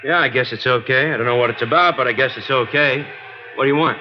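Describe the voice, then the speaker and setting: A man's voice: mid-range voice, fast, slight background noise, lightly acted. A man acting a guarded, reluctant working-class garage owner in an old-time radio drama, colloquial and grudging. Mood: wary. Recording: dull vintage radio broadcast.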